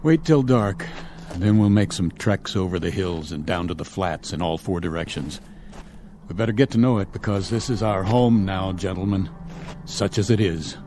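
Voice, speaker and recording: A man playing a stern, level-headed colonel commanding a stranded space crew in a radio drama, with a measured, authoritative manner. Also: low voice, mellow, slight background noise, close to an everyday voice. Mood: somber.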